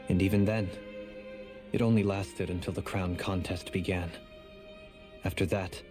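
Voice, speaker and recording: A man acting as a refined nobleman in a video game, low and measured. He speaks formally and solemnly, with a melancholy weight, over prominent music. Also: close to an everyday voice. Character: refined, melancholy young nobleman and sworn lord.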